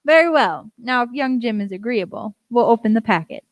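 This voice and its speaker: A woman playing a composed, inquisitive gentleman doctor in a radio play, speaking politely and formally. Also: a little high, expressive, fairly quick. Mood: measured, intrigued. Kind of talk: youth radio play acting.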